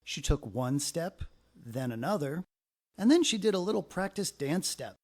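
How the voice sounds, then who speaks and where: A man narrating a children's story aloud in an expressive, deliberate way with dramatic phrasing. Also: fairly high pitch.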